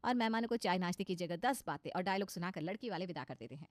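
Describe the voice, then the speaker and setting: A woman's voice: fairly low pitch, lively intonation, fast talker, no room sound, studio quality. A woman reading scripted narration for a moral story.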